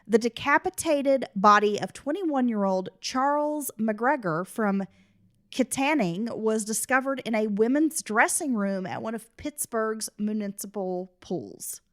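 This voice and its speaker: Stuffy-nosed woman narrating true crime. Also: a little high. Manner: reads case details, chats between. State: stuffy, congested from a cold. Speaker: podcast co-host narrating the case.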